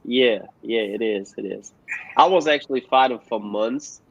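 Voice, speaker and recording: A man from Germany, a guest musician answering interview questions haltingly with many fillers over a remote call. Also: mid-range voice, no echo. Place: at home in Germany, joining remotely. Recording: dull, dry remote call audio.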